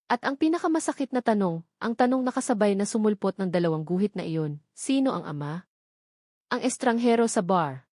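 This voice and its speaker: A woman with a Filipino accent narrating a scripted dramatic story as an audiobook, in a deliberate, emphatic way with short dramatic phrases. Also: mid-range voice, darkish tone, no room sound, clean studio sound.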